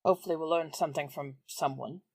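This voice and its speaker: A woman with a low voice plays a tabletop roleplaying game. Her talk is casual and conversational, recorded dry and close. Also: fairly even intonation, quick pace, a little mellow, breathy edge, dry recording. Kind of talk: casual chat.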